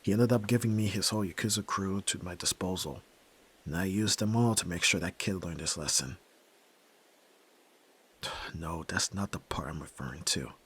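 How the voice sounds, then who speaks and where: A man's voice: low-pitched, moderate pace, long pauses, fairly bright tone, husky, heavily acted. A young man playing a brusque, tormented nerd who is a reluctant yakuza heir, in a dramatic audio roleplay, speaking huskily and curtly. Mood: resigned, subdued. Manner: brooding, curt, pushing the listener away.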